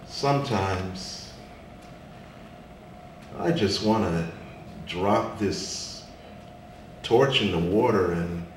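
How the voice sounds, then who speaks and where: A man's voice: on the low side, voice pushed down. Man performing a sassy, weary Statue of Liberty, echoey. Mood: weary, sorrowful. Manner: dramatic, sing-song rhymed delivery with drawn-out words. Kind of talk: live spoken word poetry performance. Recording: echoey room, distant mic, faint noise.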